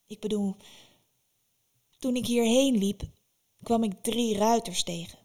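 A woman voicing a fierce, commanding old witch in a spoken fairy tale, loud and stern. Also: on the high side, takes it slowly. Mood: boastful.

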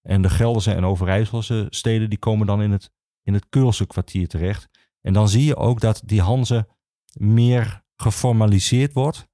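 Low-voiced Dutchman explaining history, haltingly. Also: fairly even intonation, mellow, dry recording, clean studio sound. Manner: hesitant, halting, with many pauses and repetitions. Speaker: archivist, podcast guest. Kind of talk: informal expert explanation of history.

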